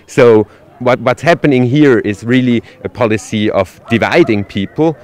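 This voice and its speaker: A man's voice: mid-range voice, moderate pace, a little mellow. A man answering questions at a street protest, arguing his points firmly with frequent restarts. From Switzerland. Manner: articulate, argumentative, with frequent "uh" restarts. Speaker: demonstrator interviewed at a protest.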